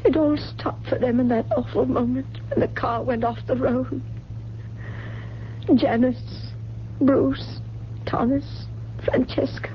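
An actress playing a wealthy, forgetful, grief-haunted widowed mother in a radio mystery drama, with a genteel, drifting manner. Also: high-pitched. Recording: dull, hissy 1970s radio recording.

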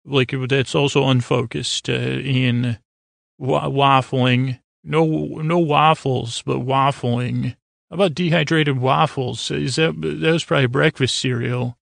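Male podcast host, creaky and gravelly, mid-pitched, stammering through meandering bedtime rambles, recorded close and dry. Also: steady tone, takes it slowly, a bit bright, no room sound. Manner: meandering, stammering, keeps derailing himself.

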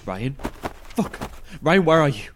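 An actor playing a panicked, foul-mouthed but loyal male survivor in a horror audio drama, frantic and high-strung. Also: very animated, a little slow, noisy background. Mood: frightened.

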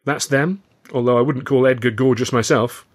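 A man's voice: mid-range voice, fairly even intonation, moderate pace, close to an everyday voice. A man voicing a wry, resentful English vaudevillian with a pointed manner, in an audiobook.

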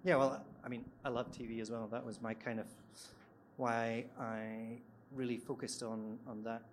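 A man with a Scottish accent, speaking as a screenwriter on a live panel discussion, relaxed and a little hesitant. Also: mid-range voice, normal speed, a little hiss or hum. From Scotland. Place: event venue, live audience.

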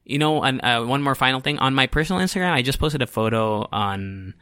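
A man's voice: mid-range voice, a little fast, sharp tone, clean tone, no room sound. A young man with a Filipino accent hosting his own podcast, talking in a rambling, chatty, self-deprecating way and dropping in Tagalog words. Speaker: podcast host. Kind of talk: casual solo podcast monologue.

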